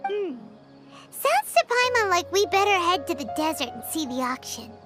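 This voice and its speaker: A woman voicing a tiny, childlike fairy companion in a video game, squeaky, blunt and curious. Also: sing-song, normal speed, over loud music. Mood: pondering. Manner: squeaky, refers to self in third person.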